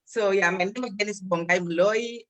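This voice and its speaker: An older woman from Zimbabwe, a talk show guest, telling her life story in a measured, grateful way. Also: medium pitch, a bit bright. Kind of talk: personal storytelling. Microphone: video call.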